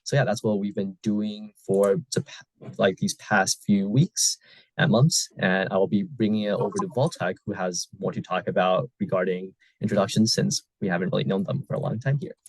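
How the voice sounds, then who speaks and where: A man's voice: lowish voice, steady tone, moderate pace, darkish tone, no echo, rough recording. A young man presenting casually for a student club, using fillers like "so yeah" and "like". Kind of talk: casual presentation over video call.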